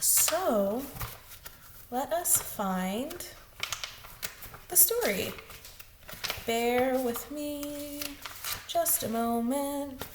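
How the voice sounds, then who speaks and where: A woman's voice: high voice, extremely slow, long pauses, background noise. A woman preschool teacher hosting story time, speaking directly to young children as she greets them and reads.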